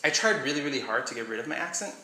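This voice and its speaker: Young male vlogger, slight Miami accent, bright tone, rambling, echoey room. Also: mid-range voice, lively intonation, rapid-fire, slight background noise. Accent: slight Miami English. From Miami, USA. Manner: rambling, trails off with "I don't know". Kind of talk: casual vlog chat, short read-aloud passage.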